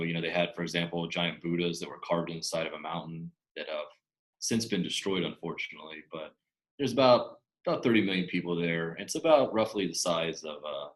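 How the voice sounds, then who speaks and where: Man presenter with a very deep voice, halting, restarting as he explains. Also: poor audio. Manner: hesitant, repeats words. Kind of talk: casual panel talk.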